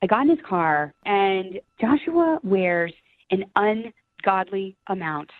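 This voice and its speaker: A woman's voice: medium pitch, expressive, slow, no room sound. A woman calling in to a radio dating segment, chatting casually and bluntly over the telephone. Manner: candid, blunt. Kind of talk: casual phone conversation. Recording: thin phone line.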